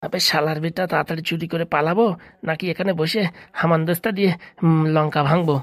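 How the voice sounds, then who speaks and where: An irritable male crook boss acted by a woman in a cartoon comedy, scolding in a lowish, very quick voice. The slangy grumbling is recorded dry and close. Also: steady tone, no echo. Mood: exasperated. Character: irritable bossy male thief, scolding, superstitious.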